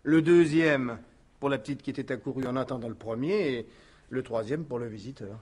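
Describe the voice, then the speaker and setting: A man's voice: mid-range voice, fairly quick, darkish tone, slightly rough, close to an everyday voice. A man playing a deferential police subordinate who reports factually in a radio crime drama. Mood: businesslike. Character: dutiful, matter-of-fact male police subordinate reporting. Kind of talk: 1950s-style French radio crime drama acting.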